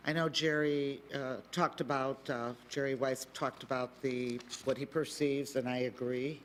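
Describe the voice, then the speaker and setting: Male developer at a planning hearing; halting delivery, mid-range voice. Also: medium pitch, steady tone, a bit bright, a little hiss or hum. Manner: halting, many fillers and restarts. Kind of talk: public meeting testimony.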